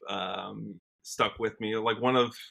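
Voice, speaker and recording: A man with an American accent and a fairly low, bright, forward voice. He talks casually as an interview guest, reflective and a little rambling, working out his thoughts as he speaks. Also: steady tone, takes it slowly, vocal fry. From Washington state, United States. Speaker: guest, country singer-songwriter.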